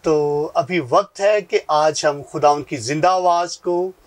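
A man's voice: a little high, slow. A middle-aged man, formally hosting a religious talk show on a lapel mic in a TV studio. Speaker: TV host. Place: small TV studio.

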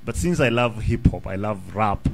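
A man in his thirties with a Kenyan accent, talking as a guest rapper in a casual radio studio interview. He speaks in an animated way, often restarting his sentences, close to a studio mic on a boom arm in a small room. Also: normal speed. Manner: animated, mixes English and Swahili slang, many restarts.

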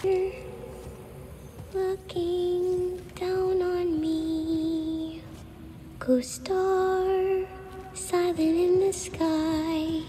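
Innocent young game child: very high, unhurried, hesitant and soft, faint music behind. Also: female voice, flat delivery, full character voice. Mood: wistful. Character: lonely, timid, innocent little girl. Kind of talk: cinematic video game acting.

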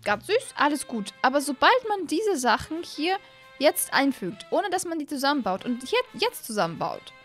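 A woman in her twenties giving casual, rambling let's-play commentary in an expressive way, close on a studio microphone. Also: high voice, moderate pace, soft background music. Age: 20s. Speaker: host of a gaming channel. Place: small indoor streaming room at home. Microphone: close studio microphone on an arm.

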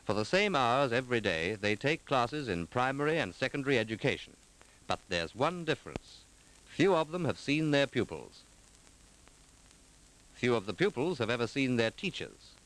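Man, middle pitch, animated intonation, formal and articulate, 1940s documentary narration, old film soundtrack. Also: moderate pace, rough recording.

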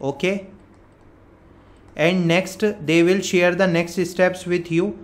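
An Indian-accented man with a fairly high-pitched voice presents an informal update for job candidates. He explains step by step, often restating a point and checking in as he goes. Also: little pitch movement, takes it slowly. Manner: repetitive, step-by-step explaining with frequent check words. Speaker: career coaching presenter. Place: voice-over on a screen recording.

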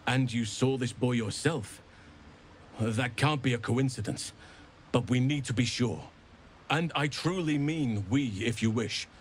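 An actor playing an earnest, burly druid elf in a game, with grave, measured, formal delivery. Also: male voice, medium pitch, slight background noise, close to an everyday voice. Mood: earnest devotion. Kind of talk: dramatic game cutscene acting.

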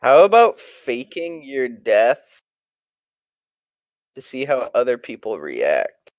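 Young adult male, mid-pitched, foul-mouthed and jokey, casual streamer storytelling, dry recording. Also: wildly swooping pitch, takes it slowly, no room sound, muffled recording. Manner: crude, profane, joking. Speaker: streamer telling stories. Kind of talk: casual chat.

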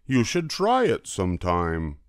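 A man's voice: low voice, huge pitch swings, unhurried, clean tone, dry recording, clean studio sound, full character voice. An older man voicing a jolly, boastful, mischievous cat from a children's storybook in a breezy, showy manner.

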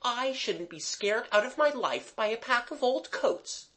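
A narrator voicing a high, plucky young girl. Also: animated, a little slow, sharp tone. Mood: stout, retorting. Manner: emphatic, retorting. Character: demanding, plucky young girl, stoutly defiant.

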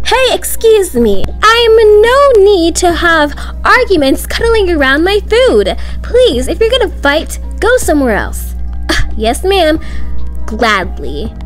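A woman's voice: very high-pitched, sing-song, slow pace. A young woman doing amateur roleplay voice acting of school drama, with slangy, dramatic teen-girl dialogue. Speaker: video creator voicing all characters of a school roleplay story. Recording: music behind the voice. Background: background music.

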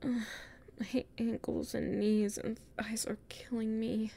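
A weary, anxious heroine of an audio roleplay, acted by a woman, mutters her way through a castle. Her voice is high, soft and breathy. She speaks slowly and haltingly, as if talking to herself. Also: flat delivery, full character voice. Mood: weary, musing. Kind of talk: audio roleplay acting, solo monologue.

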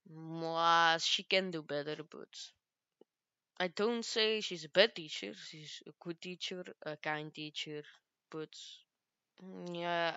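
Casting note: teen girl, low and unhurried, halting English, smooth dry close-mic sound. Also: pushed and bright, no echo, lo-fi sound. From Belgium. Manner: halting, searches for English words. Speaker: gaming youtuber. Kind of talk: casual gameplay commentary.

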